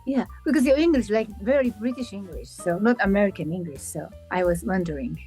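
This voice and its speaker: A woman hosting an interview, chatty and warm-paced, filling pauses with frequent yeahs and simple phrasing. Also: mid-range voice, soft background music. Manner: chatty, frequent yeah fillers, non-native phrasing. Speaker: interviewer host. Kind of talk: casual interview chat.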